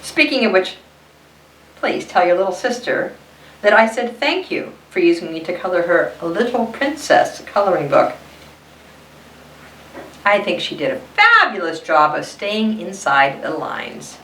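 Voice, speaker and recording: A woman's voice: on the low side, sing-song, a little slow, fairly bright tone, a little hiss or hum, full character voice. A woman voicing a sassy, self-promoting pink crayon with pointed delivery in a children's storybook read-aloud. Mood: indignant, pointed. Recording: roomy echo, distant mic.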